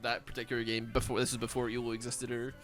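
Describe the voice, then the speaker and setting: A man's voice: mid-range voice, monotone, fast, a bit bright, faint music behind. A Scottish man with a Glaswegian accent and a lisp, mumbling and rambling in casual chat while streaming a game. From Scotland. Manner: mumbling, rambling with many fillers.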